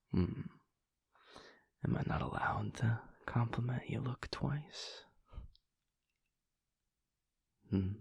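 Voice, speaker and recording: A man playing a flirty but tender werewolf in an intimate romantic audio roleplay, speaking in a husky, breathy murmur with a halting, teasing manner. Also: medium pitch, a little mellow, no room sound, full character voice. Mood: flirty, warm. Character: flirty, feisty male werewolf, tender and earnest underneath.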